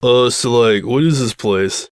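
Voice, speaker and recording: A man voicing a laid-back, dopey teenage quarterback in a slow slacker drawl for a comic story. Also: mid-range voice, smooth, lightly acted. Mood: dazed, impressed.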